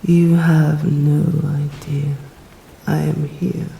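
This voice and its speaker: A woman with an English accent voicing a stern, hungry yet protective female vampire in an ASMR roleplay, whispering slowly in formal, old-fashioned phrasing. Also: low voice, flat delivery, creaky, warm tone, reverberant, a little hiss or hum, exaggerated voice. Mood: hushed, tender.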